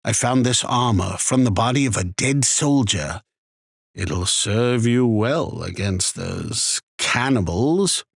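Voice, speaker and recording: Guy doing a wry arms trader shopkeeper from a video game, theatrical, really swingy pitch, takes his time. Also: animated, gravelly, breathy edge, no echo, studio quality, heavily acted. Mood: earnest selling. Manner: theatrical, deliberate salesman patter. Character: chatty male shopkeeper, wry, easily annoyed arms trader. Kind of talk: scripted video game character lines.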